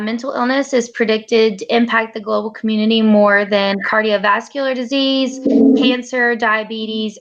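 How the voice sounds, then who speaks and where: High gravelly young woman presenting. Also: fairly bright tone, low-quality recording. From United States. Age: 20s. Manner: reads from notes with frequent fillers and pauses. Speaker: graduate student presenter. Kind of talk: class presentation.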